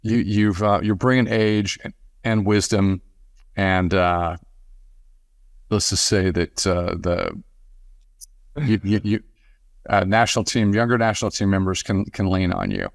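A man hosting a casual sports podcast interview, speaking haltingly and often repeating words as he restarts. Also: sits low, fairly even intonation, gravelly, no room sound.

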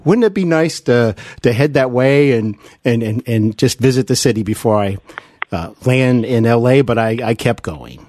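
Animated American man hosting an interview. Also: medium pitch, dry recording. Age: middle-aged. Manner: effusive, halting with repeated words. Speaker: podcast host, interviewer. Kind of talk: conversational interview.

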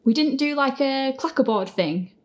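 A woman's voice: high voice, lively intonation, moderate pace, a little mellow. A woman hosting a casual group question-and-answer chat, prone to giggling as she talks. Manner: giggly, breaks into laughter while talking. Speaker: podcast Q&A host and show creator.

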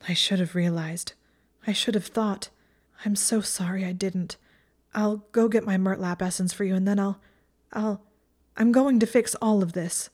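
A woman's voice: mid-range voice, fairly even intonation, moderate pace, darkish tone, close to an everyday voice. A woman voicing an anguished, guilt-ridden and fierce young female student in an audiobook, urgent and insistent. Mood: distraught, urgent.

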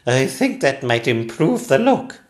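Haughty, imperious storybook Queen, played by a man: raised pitch, swooping, deliberate delivery. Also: very animated. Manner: slow, emphatic, haughty pronouncements. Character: imperious, cross, haughty Queen in a comic fairy tale.

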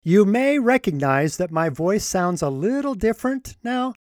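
A man hosting his own podcast, sounding congested from a recovering throat and clearing it often, talking in an earnest, indignant way. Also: sits high, sing-song, unhurried, darkish tone, no echo, studio quality. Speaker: podcast host. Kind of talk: solo podcast commentary.

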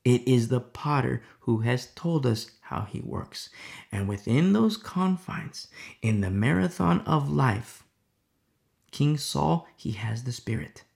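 A man teaching a Bible study sermon in a rhetorical style. He keeps asking "you see?" and drops into hushed asides. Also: mid-range voice, sing-song, slow, creaky.

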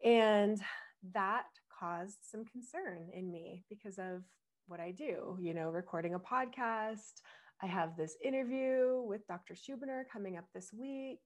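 Slightly husky woman podcast host. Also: medium pitch, a little slow. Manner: reflective and explanatory, with small laughs. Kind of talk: solo podcast talk.

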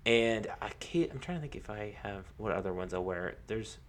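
A young American man co-hosting a podcast, talking casually about sports with a halting, repetitive storytelling manner. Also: fairly low pitch, lively intonation. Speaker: podcast co-host.

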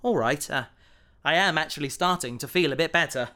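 A man's voice: a little high, brisk, bright, forceful tone. A man playing an earnest, reserved and loyal police officer in an audio drama. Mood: awkward.

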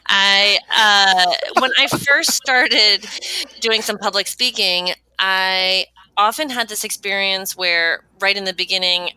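A woman's voice: medium pitch, slow pace, sharp tone, smooth, rough recording. A woman hosting an interview, chatting casually and joking about herself. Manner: chatty, self-deprecating, laughs easily. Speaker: podcast host.

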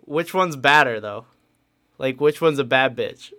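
A man's voice: medium pitch, a little slow, a bit bright, slight background noise. A young man of nineteen hosting a podcast in casual chat, giggly and rambling with many fillers. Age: late teens. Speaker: podcast host.

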